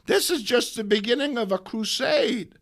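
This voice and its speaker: A man's voice: very high voice, moderate pace, vocal fry, a bit bright, voice pushed up, exaggerated voice. A man voicing a feisty, righteous older woman with old-fashioned, exclamatory talk in a comic book reading. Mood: defiant. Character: feisty, righteous old woman crusader, sweet but indignant.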